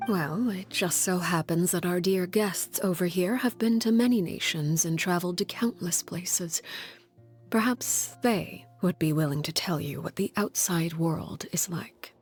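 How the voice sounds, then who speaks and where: A woman voices a stern orphanage head in a game cutscene, her voice set lower than most women's. She speaks calmly and with measured authority, never raising her tone. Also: soft background music, lightly acted. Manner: calm, measured, commanding.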